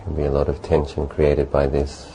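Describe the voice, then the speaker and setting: Really low mellow guy doing guided meditation, gentle, long pauses, bit of background noise. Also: monotone, creaky. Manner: gentle, unhurried, with long pauses between short phrases. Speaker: meditation teacher.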